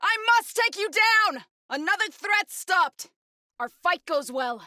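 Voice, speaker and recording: Intense, bright-voiced young warrior woman. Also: extremely high pitch, animated, takes it slowly, no room sound, studio quality, full character voice. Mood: grim, determined. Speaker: video game voice actor. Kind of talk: scripted game combat barks and companion lines.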